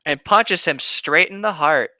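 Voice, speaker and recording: A man with a bright, forceful voice reads a story in a mock-dramatic way and banters casually. His voice is dry and close, through a slightly dull, lo-fi mic. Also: a little high, measured pace, no room sound. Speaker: podcast co-host.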